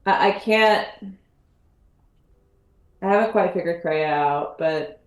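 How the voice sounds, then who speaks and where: A woman chatting casually about a tabletop game as a podcast panelist, thinking aloud in halting, repeated phrases. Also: lowish voice. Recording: roomy, echoing sound.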